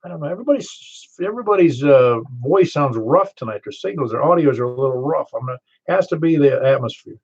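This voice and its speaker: An older man with a Southern American accent running a ham radio net, chatty and quick to laugh as he tells stories. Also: a little high, animated, darkish tone, breathy edge. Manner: chatty, storytelling, laughs easily. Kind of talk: casual radio chat. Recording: muffled ham radio audio.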